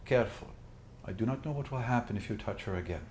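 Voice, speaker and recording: A man voicing a grave, guarded warrior woman in a measured, formal, cautioning way for a tabletop game. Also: on the low side, expressive, a little mellow, close to an everyday voice. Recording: roomy echo with background noise.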